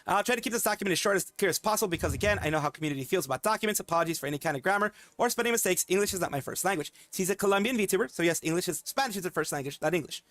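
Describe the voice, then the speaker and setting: A man's voice: sits high, expressive, fairly bright tone, dry recording. A man streaming commentary, reading a document aloud and stopping often to comment, with fillers and restarts. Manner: fast, halting, with frequent fillers and restarts.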